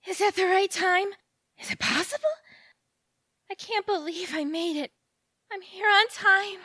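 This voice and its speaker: An actress playing a giddy, lovestruck romantic woman in an audio drama, gushing and dramatic and quick to take offence. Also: very high-pitched, sharp tone, dry recording, studio quality, exaggerated voice. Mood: breathless elation. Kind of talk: audio theatre acting.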